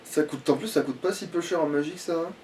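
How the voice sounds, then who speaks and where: A high-pitched man chats casually through a gaming session. He talks quickly and informally, with some mild swearing, and sounds set back from the microphone. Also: darkish tone, far from the mic. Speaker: let's play gamer.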